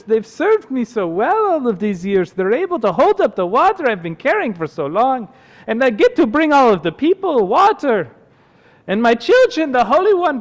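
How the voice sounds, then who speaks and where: Man playing a weary old water carrier, high-pitched. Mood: contented, grateful. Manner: lamenting, drawn-out exclamations. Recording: long hall reverb.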